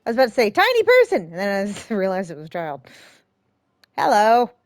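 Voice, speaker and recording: A woman's voice: medium pitch, wildly swooping pitch, brisk, no room sound, studio quality. A woman giving casual, reactive let's play commentary, often muttering to herself as a game streamer. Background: game music.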